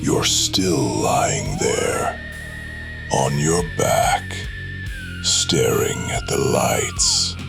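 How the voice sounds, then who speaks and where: Huge old vampire wrestler, super low creaky voice, soft n creepy hypnotic, dragging every word. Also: male voice, huge pitch swings, music in the background, full character voice. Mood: hypnotic menace. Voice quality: soft, gentle yet heavy. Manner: slow, hypnotic, ominous. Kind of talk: supernatural noir audio drama acting.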